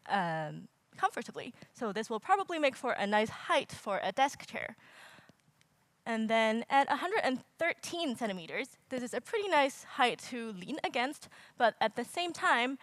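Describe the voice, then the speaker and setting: A woman's voice: a little high, fairly bright tone, no room sound. A young woman from Hong Kong giving a casual conference talk, explaining with frequent fillers and wry asides. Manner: casual, self-deprecating, filler-heavy explaining. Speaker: conference speaker. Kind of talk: presentation talk. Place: lecture hall stage. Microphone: handheld or stage mic.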